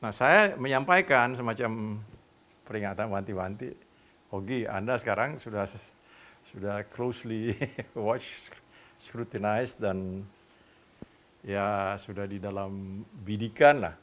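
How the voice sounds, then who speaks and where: An older man, a former newspaperman, recalling the past in an interview, speaking deliberately with pauses and acting out quoted dialogue. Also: lowish voice, a bit bright. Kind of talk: reminiscing interview.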